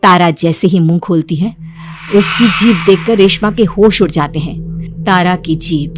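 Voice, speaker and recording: A woman's voice: on the low side, measured pace. A woman reading scripted story narration as the narrator of a moral tale, over music.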